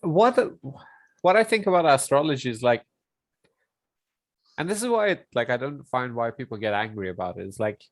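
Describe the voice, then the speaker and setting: A man's voice: medium pitch, animated, no echo. Man chatting loosely in a dry studio sound. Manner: loose, halting talk peppered with "like". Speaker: podcast guest. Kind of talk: casual chat.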